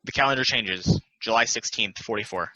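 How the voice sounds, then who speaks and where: A man narrating stage directions at a play table read in a plain, deliberate, announcing manner. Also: fairly low pitch, pushed and bright, no room sound, muffled recording.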